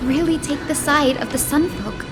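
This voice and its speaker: High-pitched childlike companion played by a woman. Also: normal speed, music in the background, lightly acted. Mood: curious, surprised. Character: small, childlike, curious female companion. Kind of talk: scripted fantasy game dialogue.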